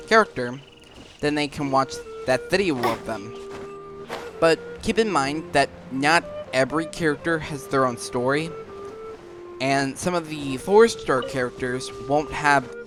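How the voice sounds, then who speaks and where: A man giving casual, rambling gameplay commentary with a hesitant delivery. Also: mid-range voice. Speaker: gaming video narrator. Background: game music.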